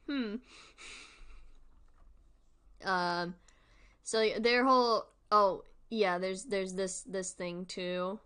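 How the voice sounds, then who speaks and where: Woman, mid-range voice, livestream host, casual commentary, rambling, thinking out loud. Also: medium pitch, clean tone. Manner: informal, rambling, thinking aloud.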